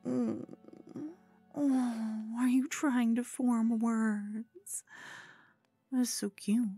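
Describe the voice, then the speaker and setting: A woman's voice: on the high side, fairly even intonation, very slow, warm tone, breathy edge, no room sound, soft background music, full character voice. A woman playing a cute, obsessed, scheming yandere con woman in an ASMR roleplay, coy and cooing, often slipping into whispers. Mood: cooing, gloating.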